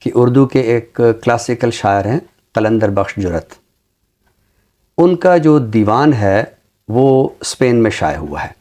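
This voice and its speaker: A middle-aged man with a Pakistani accent speaks formally and deliberately about literature, repeating phrases for emphasis. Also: mid-range voice, animated, mellow. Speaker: literary show presenter and book reviewer. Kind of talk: measured literary talk with poetry recitation.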